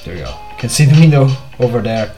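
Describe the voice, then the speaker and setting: Man, mid-pitched, halting solo vlog, faint music behind. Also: sing-song, gravelly, mellow. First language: Portuguese. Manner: hesitant, many fillers and restarts. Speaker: podcast host.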